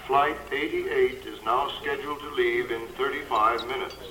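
A formal, impersonal airport announcer calls flights over a public address speaker in a 1950s radio play. His voice is mid-pitched, in a noisy old recording. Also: fairly even intonation, moderate pace.

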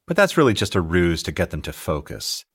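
A middle-aged American man reading his own audiobook as an author and teacher, telling anecdotes in an expressive, scripted style. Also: low-pitched, fairly quick, breathy edge. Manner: expressive, anecdotal storytelling, acting out dialogue. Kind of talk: scripted narration, audiobook memoir.

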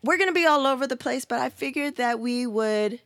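Chill woman from san diego hosting a podcast, kinda high voice, super dry close sound, lots of slang and laughs. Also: a little fast, fairly bright tone, no room sound. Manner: laid-back, slangy, laughs often. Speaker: podcast host. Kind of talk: casual podcast chat.

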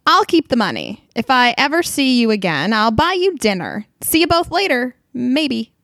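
A woman's voice: a little high, moderate pace, no echo. Woman acting a glib, self-serving cult leader. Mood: flippant. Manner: glib, deadpan. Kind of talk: audio drama acting.

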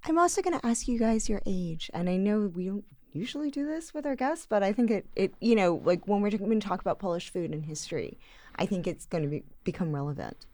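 A woman's voice: medium pitch, lively intonation, a little fast, darkish tone, no room sound. A woman co-hosting a podcast, speaking hesitantly with many fillers. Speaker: podcast host. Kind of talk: casual chat.

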